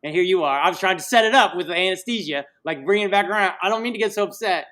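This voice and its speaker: Jokey high-voiced man ranting on a livestream. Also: fast, fairly bright tone. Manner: jokey, rambling, puts on silly voices. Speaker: livestream host. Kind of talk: casual livestream banter.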